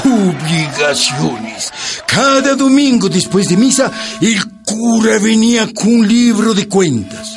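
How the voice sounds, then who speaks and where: Slow Andean peasant narrator, high-voiced. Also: male voice, big pitch swings, full character voice. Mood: grave. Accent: Ecuadorian Andean. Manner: solemn storytelling. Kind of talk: scripted radio drama narration. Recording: music bed under the voice.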